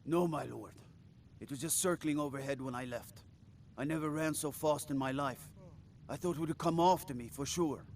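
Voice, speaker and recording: An actor playing a shaken, deferential young guard in a fantasy video game, earnest and respectful. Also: male voice, moderate pace, darkish tone, close to an everyday voice. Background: faint rumbling noise.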